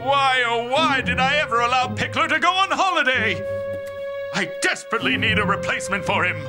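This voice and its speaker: A man's voice: extremely high pitch, lively intonation, fairly quick, loud music behind the voice. A man voicing a flustered, pompous portly mayor in a cartoon, fussy and whiny. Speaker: town mayor.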